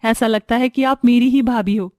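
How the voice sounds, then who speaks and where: A woman voicing a modest, sweet young woman from a poor family, polite and gentle in manner. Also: fairly high pitch, fairly even intonation, normal speed, no room sound, clean studio sound, natural voice.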